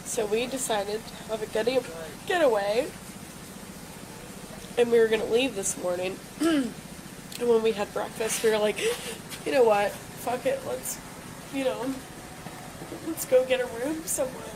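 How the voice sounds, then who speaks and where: A woman's voice: a little high, measured pace, long pauses. A woman in her twenties, tipsy and upset, rambling and swearing to a police officer. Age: 20s. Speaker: bar patron in a police stop. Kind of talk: emotional rambling talk. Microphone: police body camera. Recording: noisy body camera audio.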